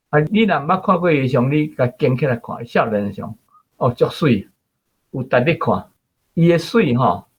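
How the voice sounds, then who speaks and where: A man with a Taiwanese accent chatting casually and explaining things in a husky voice, often repeating himself playfully. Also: medium pitch, lo-fi sound.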